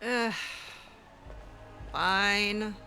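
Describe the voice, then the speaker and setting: A woman's voice: medium pitch, fairly even intonation, extremely slow, pushed and bright, soft background music. A woman giving casual, in-character roleplay commentary on a video game, sarcastic and exasperated. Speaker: gamer playing in character.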